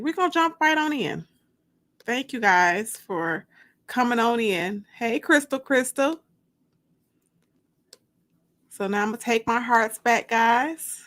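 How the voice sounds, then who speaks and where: Slow, drawn-out woman livestream host. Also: fairly high pitch, a bit bright. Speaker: host and author. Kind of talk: livestream chat and dramatic book reading.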